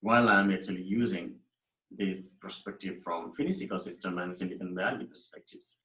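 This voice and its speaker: Guy from nepal lecturing over slides, kinda low voice, earnest, repeats himself, roomy echo. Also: fairly even intonation, brisk, bright, forceful tone. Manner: earnest, emphatic, repeats and restarts phrases. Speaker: university lecturer.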